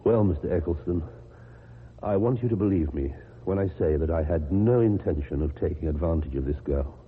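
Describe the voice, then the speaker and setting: An actor with a British accent playing a lonely, awkward, earnest man in a radio drama, speaking formally and haltingly. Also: deep voice, slightly rough. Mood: confessional, subdued. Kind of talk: old-time British radio drama acting. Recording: muffled, noisy old radio recording.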